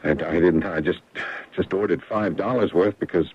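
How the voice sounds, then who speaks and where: A man's voice: low voice, normal speed, husky. An actor in a radio drama playing a confused, anxious middle-aged man who has lost his memory, speaking hesitantly and repeating himself. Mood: humble, embarrassed. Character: confused, anxious amnesiac businessman, stammering and lost. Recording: dull, noisy old radio broadcast.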